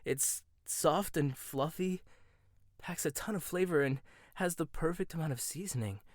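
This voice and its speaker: A man's voice: on the high side, normal speed, no echo, studio quality, natural voice. A young man playing a cocky, condescending rival chef in a boyfriend ASMR roleplay, teasing and smug, often laughing. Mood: amazed. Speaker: voice actor.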